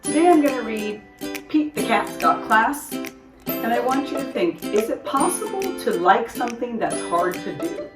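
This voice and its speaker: A woman hosting a storytime, reading a children's picture book aloud in an expressive, deliberate way. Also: on the high side, over music. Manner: expressive, deliberate, puts on character dialogue. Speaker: storytime host, reader. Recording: roomy echo, distant microphone.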